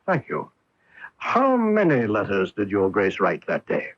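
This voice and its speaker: A man playing a shrewd, courteous English detective in a radio mystery drama, polite, deliberate and probing. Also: lowish voice, huge pitch swings, heavily acted. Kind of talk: old-time radio mystery drama acting. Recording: dull lo-fi AM radio broadcast.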